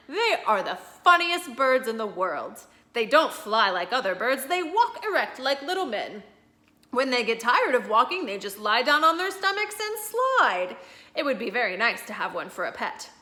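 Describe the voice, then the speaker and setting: A woman's voice: fairly high pitch, pushed and bright, reverberant. A woman doing an eager, dreamy storybook man. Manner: eager, enthusiastic. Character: dreamy, cheerful middle-aged man.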